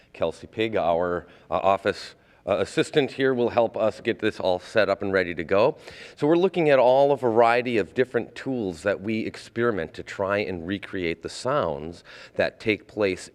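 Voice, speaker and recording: Man, low deep voice, theater director being interviewed, halting wordy explanations, reverberant hall sound.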